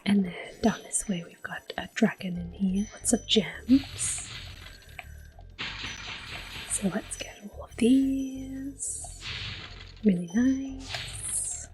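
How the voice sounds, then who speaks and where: A woman giving soft-spoken, often whispered ASMR commentary on a game, gentle and breathy. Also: on the high side, faint music behind, poor audio. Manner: gentle, unhurried. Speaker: ASMR gameplay host.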